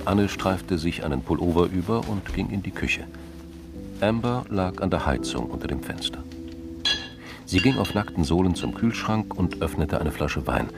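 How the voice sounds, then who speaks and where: A man's voice: sits low, animated, moderate pace. A man narrating a radio crime drama from a script. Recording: music behind the voice.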